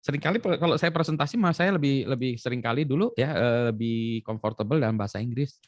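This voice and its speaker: A middle-aged man from Indonesia, an expert guest explaining in an animated way with lots of examples and fillers. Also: medium pitch, quick pace, no room sound, clean studio sound. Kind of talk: conversational interview explanation.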